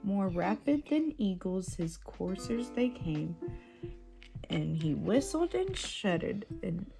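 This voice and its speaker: A woman reading a children's storybook aloud in an expressive, sing-song verse delivery. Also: mid-range voice, slow, creaky. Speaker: bedtime story reader. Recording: music playing behind the voice. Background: plucked guitar music.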